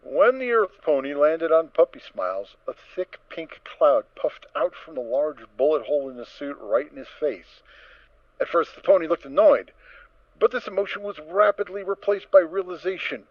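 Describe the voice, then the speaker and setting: A man narrating a scripted story in a theatrical, expressive storytelling manner. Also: on the high side, moderate pace, no room sound, muffled recording.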